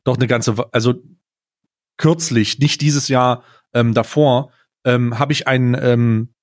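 A man's voice: mid-range voice, steady tone, slow. A man telling anecdotes casually as a podcast co-host, blunt and slangy, dropping in bits of English.

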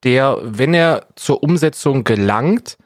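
A man's voice: mid-range voice, lively intonation, slow, no echo. Male podcast co-host, mid-range voice, very dry recording, blunt casual talker.

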